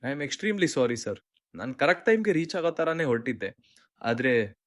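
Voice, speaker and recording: A man's voice: medium pitch, sing-song, moderate pace, no room sound, clean studio sound, lightly acted. Man acting as dejected, earnest young Indian employee. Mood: apologetic, hesitant. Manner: deferential, hesitant, earnest. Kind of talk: short-film drama dialogue and monologue.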